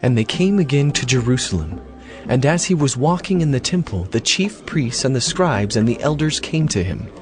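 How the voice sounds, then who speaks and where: A man's voice: mid-range voice, very animated, moderate pace, a little mellow. A man narrating scripture from a script, as the storyteller of a dramatized reading. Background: music.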